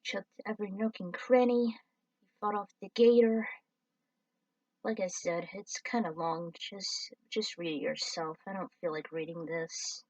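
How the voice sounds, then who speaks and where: A woman's voice: mid-range voice, fairly even intonation, unhurried, a little mellow, no room sound, distant mic, highs cut off. A woman reading her own fan story aloud in a casual, offhand way. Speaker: fan-fiction reader.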